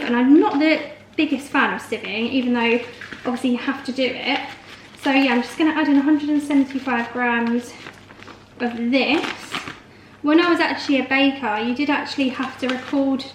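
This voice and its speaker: A woman chatting casually through a baking vlog with rambling explanations, her voice slightly hoarse. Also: sits high. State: slightly hoarse from a long call. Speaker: vlogger and home baker. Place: home kitchen. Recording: echoey room, faint noise, clicks.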